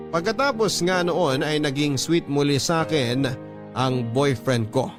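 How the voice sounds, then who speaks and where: Male radio narrator, Filipino accent, medium pitch, dramatic storytelling read over prominent background music. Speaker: radio show host and narrator.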